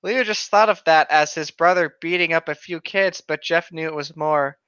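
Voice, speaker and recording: A man's voice: fairly high pitch, moderate pace, pushed and bright, clear, resonant tone, no room sound. A man co-hosting a podcast, reading a story aloud with playful, sweary asides, recorded on a slightly muffled microphone. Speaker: podcast co-host reading horror fiction aloud.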